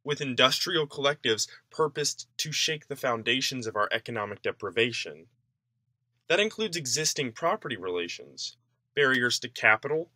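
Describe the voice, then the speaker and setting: Guy reading an essay out loud, formal and declarative, crisp bright voice, super dry sound no room. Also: medium pitch, no room sound. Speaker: reader of an essay. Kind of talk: scripted narration, reading a political essay aloud.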